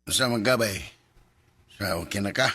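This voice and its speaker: A man putting on the voice of a rowdy male drinking buddy in a radio drama, loud and teasing. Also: fairly low pitch, moderate pace, pitched below the performer's own voice, lightly acted.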